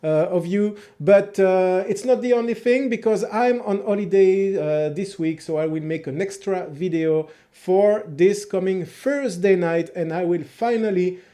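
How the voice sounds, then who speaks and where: High-pitched French-accented man reviewing music. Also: measured pace, hardly any pauses, mellow. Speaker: host of a music review video channel. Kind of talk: casual video review, talking to viewers.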